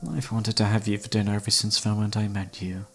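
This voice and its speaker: Man playing an obsessive, theatrical killer, low-voiced. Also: flat delivery, fast, soft background music. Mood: sinister courtesy. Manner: theatrical, mock-polite, taunting. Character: obsessive flamboyant male serial killer, menacingly flirtatious. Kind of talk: scripted ASMR roleplay audio drama.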